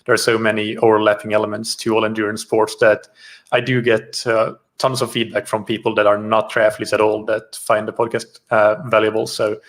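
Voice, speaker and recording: Flat-voiced man from Finland, Swedish native. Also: on the low side, a little fast. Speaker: podcast host and triathlon coach, guest. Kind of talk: casual interview chat.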